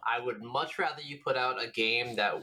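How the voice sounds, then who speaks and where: A man's voice: mid-range voice, normal speed, sharp tone. A man co-hosting a gaming podcast, chatting casually and informally with some swearing. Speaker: podcast co-host. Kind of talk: casual chat about video games.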